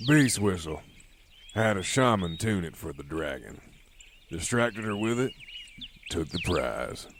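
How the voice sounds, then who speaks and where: An actor playing a curt, threatening outlaw gang leader in an audio drama. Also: male voice, deep voice, big pitch swings, a little slow, a little hiss or hum, heavily acted. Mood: menacing.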